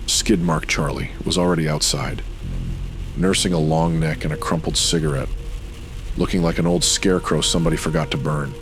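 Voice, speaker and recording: A man narrating a pulp noir audio drama in dramatic, brooding, measured phrases. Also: a bass voice.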